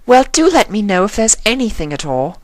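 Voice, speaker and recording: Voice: mid-range voice, sing-song, fairly quick, no echo, pitched above the performer's own voice, natural voice. A storyteller voicing an elegant, fussy, affectionate lady pony with refined, dramatic phrasing. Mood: concerned.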